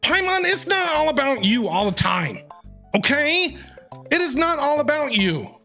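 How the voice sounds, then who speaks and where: A woman's voice: high voice, sing-song, measured pace, fairly bright tone, soft background music. A woman streamer giving joking, chatty commentary while playing, talking back to the characters. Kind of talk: let's play commentary.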